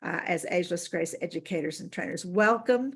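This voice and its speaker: Husky woman hosting a webinar. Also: fairly low pitch, a little fast. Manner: loose, stumbling over names. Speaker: webinar host. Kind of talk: introducing guests. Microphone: video call.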